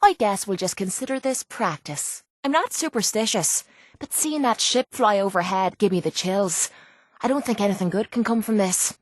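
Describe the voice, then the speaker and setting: A woman's voice: mid-range voice, normal speed, no echo, lightly acted. Woman acting as Irish female mercenary, video game companion, foul-mouthed, cocky, blunt, dry close recording. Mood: brash, sardonic. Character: tough, brash, foul-mouthed young woman brawler and mercenary.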